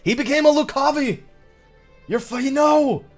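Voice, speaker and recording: A man live streaming a game, commenting with excitable interjections and sarcastic asides and reading dialogue aloud. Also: very high voice, moderate pace, faint music behind.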